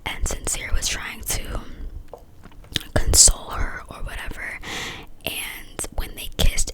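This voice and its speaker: A woman speaking in a breathy whisper, giving casual, opinionated commentary in slangy, informal phrasing, in an ASMR-like style. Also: on the high side, low-quality recording. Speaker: vlogger reviewing a reality dating show.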